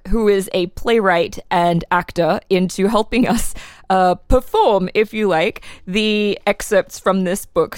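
A woman's voice: mid-range voice, dry recording. Woman hosting a podcast, dry close sound. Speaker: podcast host. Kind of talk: conversational podcast introduction.

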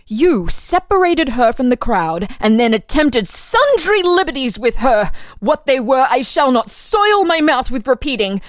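Woman playing a high-voiced, proud village maiden. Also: big pitch swings, measured pace, hardly any pauses, dry recording, studio quality. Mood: reproachful. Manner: formal, declamatory. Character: proud, resolute young village maiden, morally firm. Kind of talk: melodramatic dramatic reading.

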